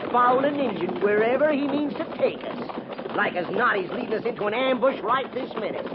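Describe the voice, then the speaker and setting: An actor playing a needling, bitter cavalry trooper in a 1950s radio western, taunting in folksy frontier speech. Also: male voice, very high-pitched, expressive, a little fast. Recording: noisy muffled 1950s radio recording.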